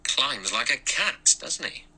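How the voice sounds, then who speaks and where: A man performs a suspicious ex-inspector in an audiobook, with a husky, forceful edge. He is gruff and sceptical, speaking in blunt colloquial phrases. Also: low voice, sing-song, normal speed, heavily acted, poor audio.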